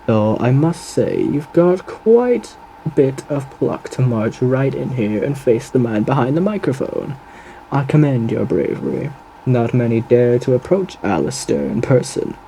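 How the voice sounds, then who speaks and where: Suave gentleman radio demon, swooping intonation, mellow dark tone, theatrical, flirtatious, faintly menacing, audio roleplay. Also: medium pitch, big pitch swings, measured pace, slight background noise, poor audio. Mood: amused, charming. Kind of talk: theatrical ASMR character roleplay monologue.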